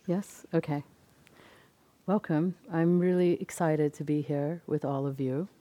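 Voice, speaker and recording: A woman assistant teacher with a very low voice, welcoming a retreat and checking that she can be heard. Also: fairly even intonation, measured pace, dark tone.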